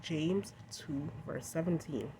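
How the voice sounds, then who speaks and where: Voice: mid-range voice, slow pace. Congested speaker, earnest talk over street noise. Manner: earnest, direct, addresses viewers as guys. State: congested from a cold. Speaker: host of a devotional channel. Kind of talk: encouraging devotional talk with scripture readings. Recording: noisy outdoor traffic background.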